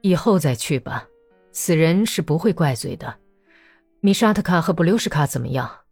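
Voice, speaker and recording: A curt, grief-stricken male officer, read by a woman lowering her voice, speaks in short, quiet phrases. The recording is dry and close, with faint music behind it. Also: expressive, moderate pace, slightly rough, no room sound, heavily acted. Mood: subdued. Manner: terse, subdued. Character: grieving curt Cossack officer, middle-aged man.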